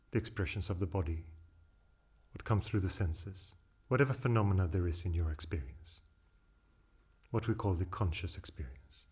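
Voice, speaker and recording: Low, husky male meditation guide. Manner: slow, calm, deliberate instruction with long pauses. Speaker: meditation teacher.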